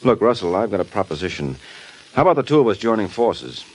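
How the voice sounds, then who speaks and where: A man acting a suave, wry, hard-boiled private detective in an old-time radio drama, cool and quick with a wisecrack. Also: lowish voice, sing-song, breathy edge. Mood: cool, probing. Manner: wisecracking, coolly unruffled. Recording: noisy vintage radio recording.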